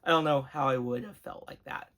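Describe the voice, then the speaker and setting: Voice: mid-range voice, fairly even intonation, a little fast, fairly bright tone. An earnest, appreciative host giving an enthusiastic, conversational review with emphatic delivery. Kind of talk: enthusiastic retro cartoon review, conversational commentary.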